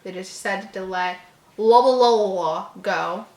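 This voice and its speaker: A woman reading the part of a gentle mother reassuring her son in a horror story. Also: medium pitch, takes it slowly. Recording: echoey room.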